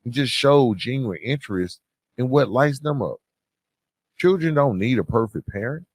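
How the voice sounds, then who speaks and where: A man hosting a solo podcast, giving advice and encouragement in an earnest, deliberate way with emphatic phrasing. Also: medium pitch, lively intonation, breathy edge, dry recording. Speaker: podcast host.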